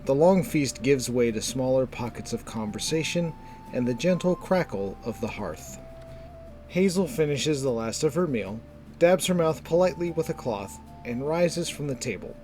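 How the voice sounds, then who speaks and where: A man narrating a scripted fantasy story in a measured, descriptive storytelling manner. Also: mid-range voice, animated, darkish tone, faint music behind.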